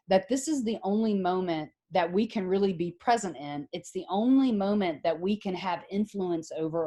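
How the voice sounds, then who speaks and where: A woman's voice: medium pitch, dark tone. Chatty middle-aged woman lecturing online. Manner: conversational, explains with examples and rhetorical questions. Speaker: psychology professor. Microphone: video call.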